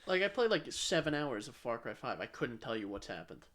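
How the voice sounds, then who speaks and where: A man's voice: medium pitch, very animated, a little fast, fairly bright tone. A man co-hosting a podcast, chatting casually and informally about games, sometimes repeating himself for emphasis. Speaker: podcast co-host.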